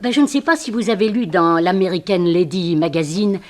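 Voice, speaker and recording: Woman acting nosy old landlady lady, kinda low voice, chatty and conspiratorial, old radio play. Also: a little fast, natural voice. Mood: chatty, confiding. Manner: chatty, exclaiming, conspiratorial, little chuckles. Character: elderly spinster landlady, gossipy, easily flustered, eager. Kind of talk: French radio crime drama acting.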